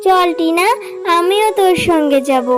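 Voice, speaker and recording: A woman's voice: very high voice, measured pace. A woman voicing a sweet, eager young fox girl in a children's cartoon, with a childlike, wheedling manner. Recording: music playing behind the voice. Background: children's music.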